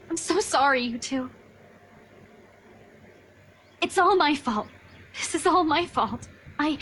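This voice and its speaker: A woman's voice: high voice, a bit bright, slight background noise. An actress playing an anxious, earnest young woman in a game who pleads and apologizes insistently. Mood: apologetic.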